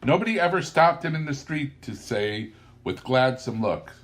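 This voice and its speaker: A man reading a classic story aloud as a narrator, with deliberate, theatrical and emphatic phrasing. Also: mid-range voice, lively intonation, fairly bright tone. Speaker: audiobook narrator.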